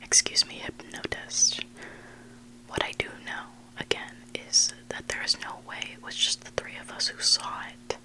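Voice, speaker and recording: A person whispering a story in ASMR style as a host, hushed and deliberate, pausing between short phrases. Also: low-quality recording. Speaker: ASMR host reading submitted online stories.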